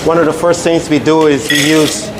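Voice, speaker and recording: A middle-aged man from Barbados, a restaurant chef explaining things step by step in an interview, with measured, pausing delivery. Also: on the high side, little pitch movement, background noise.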